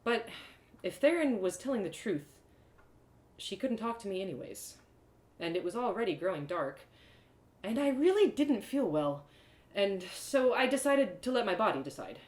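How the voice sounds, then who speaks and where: A woman reading a first-person fantasy story aloud in a dramatic, expressive way. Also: mid-range voice, normal speed, pauses often. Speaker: storyteller, author reading live. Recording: roomy echo.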